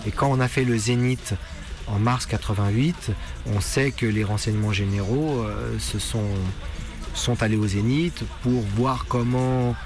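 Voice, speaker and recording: Halting Frenchman interviewed over music. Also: mid-range voice. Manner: halting, searching for words, repeats small words. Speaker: punk musician interviewed for a radio documentary. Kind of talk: reflective documentary interview. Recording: music playing under the voice.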